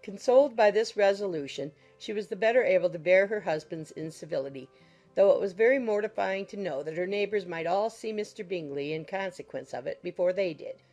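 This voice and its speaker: A woman reading a classic novel as an audiobook narrator, in measured, formal storytelling style. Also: medium pitch, a little mellow. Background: music under the voice.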